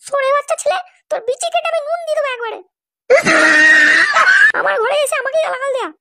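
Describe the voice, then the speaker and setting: A performer voicing a brash, foul-mouthed, scornful housewife with a shrill voice in a comedy cartoon. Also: fast talker, fairly bright tone, raised voice. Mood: scornful, angry. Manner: scolding, mocking.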